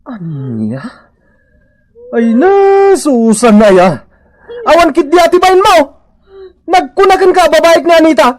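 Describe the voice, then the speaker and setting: A man's voice: extremely high pitch, a little slow, long pauses, exaggerated voice. An actor playing an exasperated husband fed up with his jealous wife in a comedy radio drama. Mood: exasperated, indignant. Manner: animated, bursts into exasperated protest.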